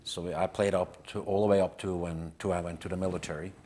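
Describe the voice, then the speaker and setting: An older man with a Norwegian accent and a low voice gives casual interview answers. His phrasing is simple and halting, with faint noise behind him. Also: level tone, darkish tone. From Norway. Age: 50s to 60s. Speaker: band member being interviewed. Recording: clear background ambient noise.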